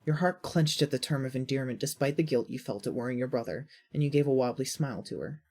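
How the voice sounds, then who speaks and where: A woman's voice: very deep voice, fast talker, darkish tone. A woman reading scripted second-person story narration.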